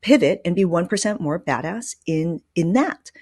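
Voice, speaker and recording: A woman's voice: on the low side, animated, normal speed, a little mellow, breathy edge, no room sound, studio quality. A woman hosting a podcast, talking casually and informally with an emphatic delivery. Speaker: podcast host. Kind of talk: casual conversational podcast talk.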